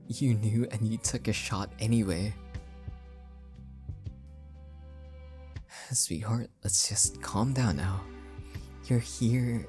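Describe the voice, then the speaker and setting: A man playing an anxious, adorkable husband in an intimate audio roleplay, speaking in a breathy, pleading manner. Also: on the low side, slow, darkish tone, faint music behind. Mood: flustered, placating. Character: adorkable, anxious, oblivious husband, affectionate and flustered.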